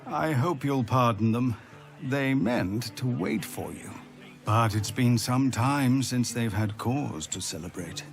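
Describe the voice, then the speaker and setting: A man playing a gracious, thoughtful leader in a fantasy video game, speaking in a measured, formal and courteous way. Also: medium pitch, animated, vocal fry, faint music behind. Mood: warm, reflective. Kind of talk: video game cutscene acting.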